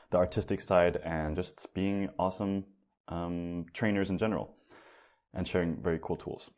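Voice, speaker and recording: A young man hosts a podcast in a casual, upbeat way, loose with his words and now and then swearing. His voice sits very low. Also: a little mellow. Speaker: podcast host.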